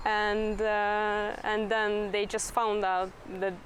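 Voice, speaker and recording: Slow, hesitant woman. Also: medium pitch, little pitch movement, slight background noise. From Hungary. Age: young adult. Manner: hesitant, many uh fillers. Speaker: interviewee, psychology student.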